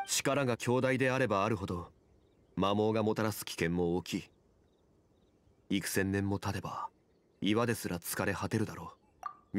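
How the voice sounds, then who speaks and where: An actor playing a calm, dignified ancient god in human form in a video game, speaking in a grave, formal, measured manner. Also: male voice, fairly low pitch, no room sound, natural voice. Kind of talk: video game character dialogue.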